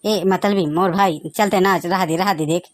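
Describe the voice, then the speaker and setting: A man playing a put-upon, grumbling village man in a comic cartoon, with a Bagheli accent and a pitched-up voice. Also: steady tone, normal speed, dry recording, clean studio sound. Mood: reluctant.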